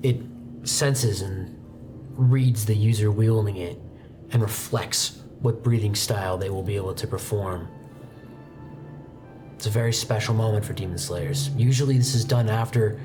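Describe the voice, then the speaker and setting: Man playing a measured old swordmaster. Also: lowish voice, lightly acted. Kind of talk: in-character tabletop roleplay.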